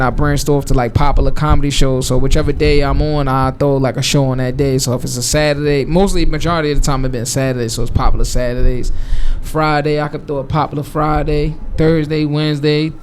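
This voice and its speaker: A man's voice: on the high side, flat delivery, brisk, a little hiss or hum. A man from Brooklyn, New York, a guest comedian talking in a laid-back way with street slang and repeated phrases. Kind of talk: casual podcast interview. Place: live podcast show before an audience.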